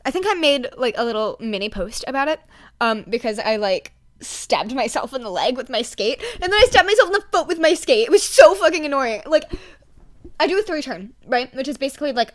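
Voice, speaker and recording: Excitable young woman with a high-pitched voice. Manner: excitable, slangy, swearing, emphatic. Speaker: podfic reader. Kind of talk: casual rambling chat to listeners.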